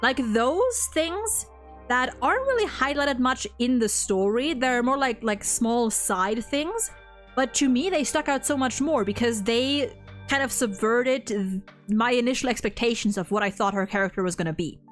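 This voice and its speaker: A woman's voice: fairly high pitch, lively intonation, normal speed, soft background music. A woman host talking casually about a video game, rambling and repeating words as she goes, with the odd casual swear.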